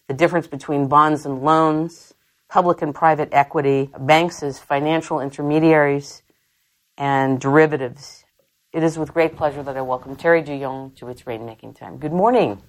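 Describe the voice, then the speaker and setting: A woman in her thirties hosting an interview show from a hotel, effusive and chatty and quick to laugh. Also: low voice. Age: 30s. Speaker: talk show host. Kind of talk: enthusiastic radio-style interview hosting. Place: hotel in London.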